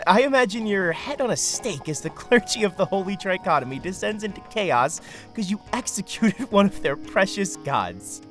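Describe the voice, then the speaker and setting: A man's voice: high voice, expressive, normal speed, hardly any pauses, fairly bright tone, faint music behind. A man playing a young nobleman in an audio drama, casual and sarcastic, thoughtful but irreverent. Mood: amused, mocking. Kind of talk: audio drama character acting.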